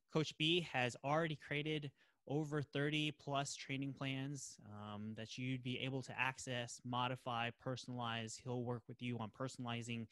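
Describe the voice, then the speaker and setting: A man's voice: mid-range voice, a bit bright, clean tone, dry recording. A man hosting a podcast, talking casually and promotionally in an enthusiastic, emphatic manner. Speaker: podcast host.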